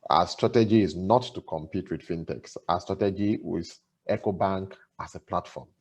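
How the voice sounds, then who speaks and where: A man, a bank executive speaking in a panel conversation, explaining in a measured way with fillers and restarts. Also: low-pitched, a little mellow.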